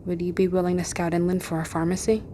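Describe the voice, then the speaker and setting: A woman's voice: lowish voice, flat delivery, fast, mellow, a little hiss or hum, lightly acted. A woman playing a tough, wounded survivor in pain, talking in a tense, clipped way and often dropping to a whisper. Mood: weary. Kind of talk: audio drama acting.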